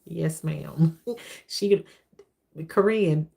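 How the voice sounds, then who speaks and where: A woman with a deep voice chatting casually as a livestream host, testifying and repeating phrases for emphasis. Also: extremely slow, vocal fry, mellow.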